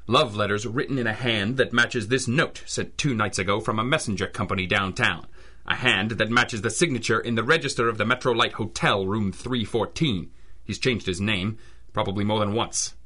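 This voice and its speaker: An actor playing a stern, cynical private detective in an old-style radio drama, with clipped, wry, accusing delivery. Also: male voice, fairly low pitch, moderate pace, bright, forceful tone, slightly rough. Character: hard-boiled, cynical adult male private detective, stern and relentless.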